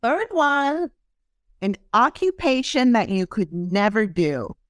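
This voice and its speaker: A woman's voice: a little high, big pitch swings, slow, no echo, studio quality. A woman hosting a podcast in casual interview chat, talking loosely with many ums and fillers. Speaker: podcast host.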